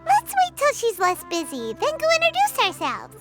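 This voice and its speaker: Tiny childlike game sidekick, extremely high squeaky voice, wildly animated, chirpy and nosy. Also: female voice, moderate pace, over loud music, heavily acted. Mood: curious. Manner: chirpy, refers to self in third person. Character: small childlike female floating companion, bubbly and nosy. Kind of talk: video game character acting.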